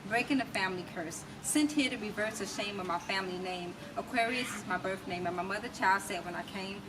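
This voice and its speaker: A woman's voice: mid-range voice, fairly quick. American woman poet, distant noisy recording. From United States. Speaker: interview guest, poet and recording artist. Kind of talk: casual interview talk with spoken-word poetry recitation. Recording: noisy, distant mic with ambient sound.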